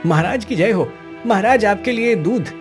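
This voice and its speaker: A man's voice: high-pitched, animated, moderate pace, music in the background. A man voicing a nervous palace soldier in a moral-story cartoon, deferential and pleading.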